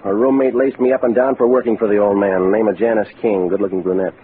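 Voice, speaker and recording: An actor playing a wisecracking, world-weary private detective in 1940s hardboiled radio style, with clipped, deadpan, slangy delivery. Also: male voice, fairly low pitch, quick pace, a little hiss or hum, lightly acted. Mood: grim, defiant. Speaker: lead actor and narrator. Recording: muffled phone-like vintage radio recording, music behind.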